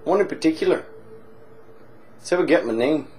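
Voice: expressive, moderate pace, a little mellow, slight background noise, natural voice. Someone doing a crude, rowdy Irish drunk. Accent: put-on Irish. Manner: crude, swaggering, jumps between high squeals and low mutters. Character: rowdy boozy Irish man, crude and cheerful. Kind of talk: comic character monologue.

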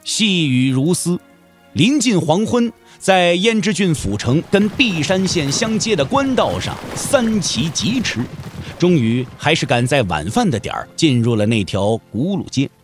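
Guy narrating wuxia drama, very animated, highish voice, storyteller style, soft music. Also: measured pace. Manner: expressive storytelling.

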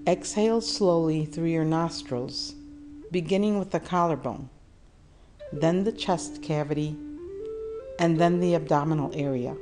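Female meditation guide, low register, calm and deliberate delivery with pauses, over clearly audible music. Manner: soothing, measured, with deliberate pauses.

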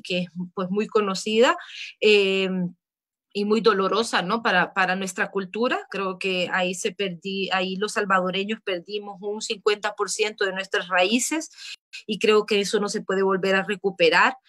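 A woman's voice: medium pitch, fairly bright tone. Hesitant woman on a video call. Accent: Central American Spanish. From Nicaragua. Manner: thoughtful, hesitant, many fillers and restarts. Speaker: documentary filmmaker, panelist. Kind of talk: online panel talk. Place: room at home, indoors. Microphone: laptop webcam video call.

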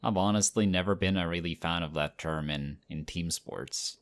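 A man voicing a friendly, modest young male team captain in an audio roleplay, talking in a relaxed, chatty way. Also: deep voice, normal speed. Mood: excited, friendly.

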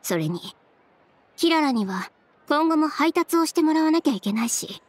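A proud, sharp-tongued young woman from a video game. Her high voice swings expressively, yet she stays cool, haughty and unflappable. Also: lively intonation, no room sound. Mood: composed, justifying. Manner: cool, haughty, deliberate sarcasm. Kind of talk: Japanese game voice acting.

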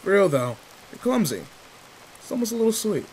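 A man's voice: high voice, brisk, a little hiss or hum, poor audio. A man playing a tall, protective, secretly sweet teenage delinquent in an intimate roleplay audio drama, talking in casual, teasing slang. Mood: playful teasing. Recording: steady rain ambience behind voice. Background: rain in a forest.

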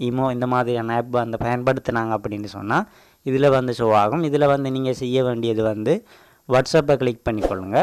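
Man, Tamil accent, smooth voice, dry close mic, casual step-by-step tutorial. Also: medium pitch, no room sound. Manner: repetitive filler "vandhu", explains step by step. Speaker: tech channel host.

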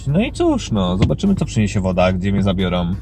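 A man's voice: low-pitched, huge pitch swings, fairly quick, warm tone, soft background music. A man recording a casual fishing vlog outdoors by a river on a head-mounted camera, chatty and joking with frequent restarts. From Poland. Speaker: fishing vlogger. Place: outdoors by a river on an overcast day. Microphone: head-mounted action camera.

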